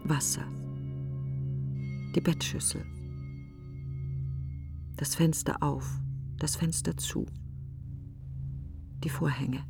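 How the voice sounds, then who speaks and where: A woman's voice: low voice, gravelly, heavily acted. An actress playing a grieving, self-accusing woman who broods in fragmented questions in a literary radio play monologue. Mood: mournful. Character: grieving, self-accusing woman mourning her best friend.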